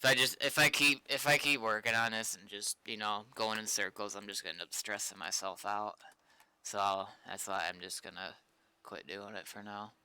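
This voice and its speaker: A man talks casually to his stream while coding at a computer. His voice sits a little low but is strikingly bright, with a clean, clear tone. He is blunt and swears freely. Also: steady tone, moderate pace. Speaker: streamer, game server developer. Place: indoors at a computer.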